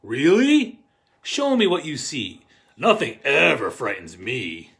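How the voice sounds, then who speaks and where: A fearless, reassuring parent from a bedtime storybook, read out by a man. The voice is bright and forceful. The rhymes come in a measured, emphatic singsong. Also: medium pitch, wildly swooping pitch, gravelly, heavily acted. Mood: bold, reassuring.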